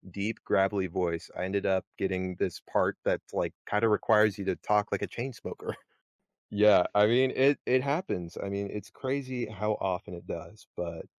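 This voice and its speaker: A man hosting a voice acting podcast, talking in a relaxed, chatty way and laughing often. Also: lowish voice, normal speed, dry recording, studio quality. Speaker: podcast host. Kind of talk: casual podcast chat.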